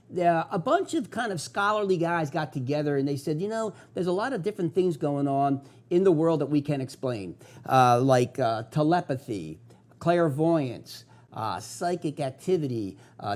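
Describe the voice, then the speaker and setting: A man's voice: on the high side, very animated, moderate pace, darkish tone. Upbeat Philadelphia-accented male host. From South Philadelphia. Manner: enthusiastic, conversational, with filler uhs. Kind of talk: local TV talk show monologue.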